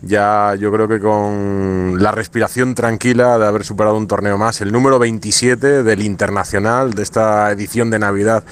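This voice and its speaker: A man reporting live for a sports radio show, giving a brisk, long run-on introduction before handing over to the guest. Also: lowish voice, fairly even intonation, clean tone. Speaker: field reporter.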